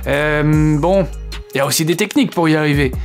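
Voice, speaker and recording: A man's voice: a little high, fairly quick, soft background music. A man, a French speaker, hosting a casual video lesson. He talks chattily, fills gaps with hesitations and keeps asking the viewer questions. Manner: chatty, asks the viewer questions, many fillers. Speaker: English teacher, channel host.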